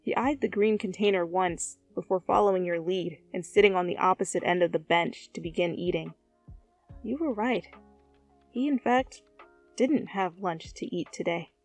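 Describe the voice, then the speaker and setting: A woman's voice: lowish voice, normal speed, no room sound, faint music behind. A woman reading fan fiction and chatting as the channel's host, with a goofy, joking manner. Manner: goofy, joking, breaks into silly asides. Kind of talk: story narration and playful blooper chatter.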